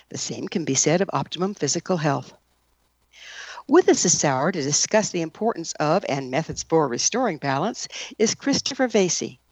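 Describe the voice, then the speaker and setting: A woman's voice: deep voice, no room sound. A woman hosting a radio show, reading a prepared introduction and interviewing a guest.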